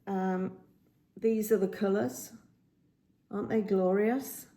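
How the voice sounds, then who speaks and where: A woman with a slightly croaky voice chats casually about her cross stitch projects, rambling with many ums and restarts, filmed on a phone at home. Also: medium pitch, fairly even intonation, takes it slowly, mellow. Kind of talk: casual show-and-tell chat. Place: indoors at home by a window. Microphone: phone camera.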